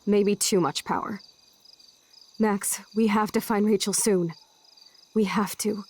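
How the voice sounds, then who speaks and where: A woman's voice: mid-range voice, steady tone, slow pace, a little mellow. Punky american teen girl, sarcastic and cocky, mid-range voice, video game acting. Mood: angry, anxious. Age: young adult. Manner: sardonic, swaggering. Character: brash, rebellious, sarcastic teenage girl, foul-mouthed punk.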